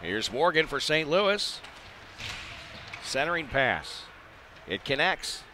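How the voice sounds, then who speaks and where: A man calling live ice hockey play-by-play in clipped phrases, excitable when the action heats up. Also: a little high, slow. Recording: live arena broadcast with background noise.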